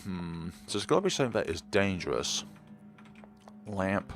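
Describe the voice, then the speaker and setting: A young man streaming a game, chatting in a calm, relaxed, low-key way. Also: normal speed. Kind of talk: casual let's play chat.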